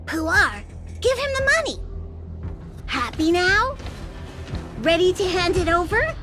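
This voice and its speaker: Small creature crime boss, high-pitched, slow deliberate pace, commanding, menacing, video game style. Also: female voice, very animated, heavily acted. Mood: cold menace. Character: small mascot creature cast as imposing gang boss. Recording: game music behind the voice.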